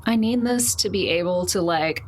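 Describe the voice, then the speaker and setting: A woman's voice: on the low side, moderate pace. A woman playing a tabletop roleplaying game, improvising in a loose, jokey, conversational way. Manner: loose, jokey, prone to chuckling. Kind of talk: casual in-character improvised chat. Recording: faint background noise.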